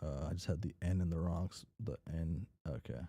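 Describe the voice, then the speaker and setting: A man's voice: low voice, fairly even intonation, brisk, creaky, warm tone, no echo. A man casually chatting and reading aloud in an offhand way, sometimes stumbling over words as the host of a read-along stream. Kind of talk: casual chat while drawing and reading a story aloud.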